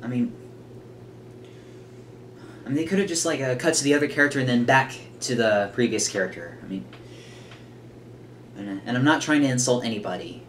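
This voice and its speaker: A man's voice: medium pitch, brisk, long pauses, a little hiss or hum. A man giving casual, off-the-cuff reaction commentary in a hesitant, rambling way. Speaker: reaction video host.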